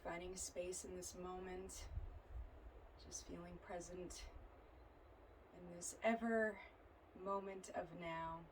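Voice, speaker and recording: Woman guiding yoga in an even tone. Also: fairly low pitch, a little hiss or hum. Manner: soothing, gentle, unhurried phrasing. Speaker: yoga and meditation instructor. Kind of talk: guided yoga and relaxation instruction.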